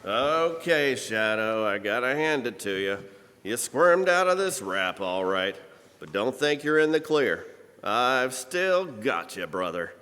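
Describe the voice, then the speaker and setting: An actor playing a gruff, wry American police commissioner in an old-time radio crime drama, performed live. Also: male voice, medium pitch, very animated, slow, bright, forceful tone, full character voice. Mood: grudging, menacing. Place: church hall stage before an audience. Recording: echoey church hall live performance.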